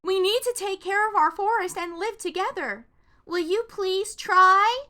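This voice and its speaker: A woman's voice: very high voice, a little slow, sharp tone, pitched above the performer's own voice, heavily acted. A woman reading a storybook, voicing worried forest animals and children who plead urgently. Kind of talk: children's storybook read-aloud.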